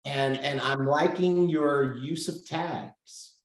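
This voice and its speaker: A man's voice: on the high side, steady tone, slow pace, echoey room, poor audio. A man hosting a casual group coaching call over video, upbeat, thinking aloud and reading out figures. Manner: upbeat, reads out numbers, thinks aloud while clicking.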